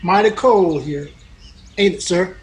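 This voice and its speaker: A man acting a devout, sorrowful cowhand in a radio western. The voice is high for a man, humble and folksy, with faint background noise. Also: measured pace. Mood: solemn, sorrowful. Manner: deferential, folksy.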